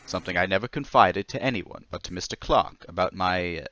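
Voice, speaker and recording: A man playing a meek, polite and nervous murder suspect in an old-time radio mystery, hesitant and stammering but quietly stubborn. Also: deep voice, a little fast, highs cut off. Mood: earnest, insistent.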